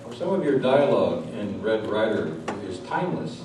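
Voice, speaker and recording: A man's voice: on the low side, big pitch swings, normal speed. A man hosting a live interview before an audience, prompting his guest with questions and background remarks. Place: large room before an audience. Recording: very echoey hall, distant, clear background noise.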